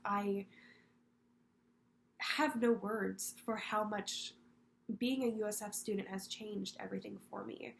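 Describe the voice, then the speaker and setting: Congested young woman, earnest and halting. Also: mid-range voice, vocal fry, slight background noise. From Florida. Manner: earnest, halting with repeated words. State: congested from a cold. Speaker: student candidate. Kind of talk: prepared personal video statement.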